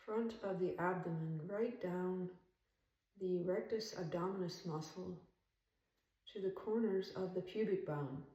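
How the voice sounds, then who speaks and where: A woman leading a guided meditation as an instructor, speaking calmly and soothingly in measured phrases with long pauses. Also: lowish voice, muffled recording. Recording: roomy echo, distant from mic.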